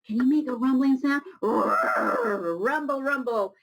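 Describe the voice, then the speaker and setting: A woman's voice: sits high, slow, gravelly, very noisy. An American woman reading a picture book aloud to young students, chatting to them, explaining words and asking questions as she goes. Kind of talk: children's read-aloud with chatty asides.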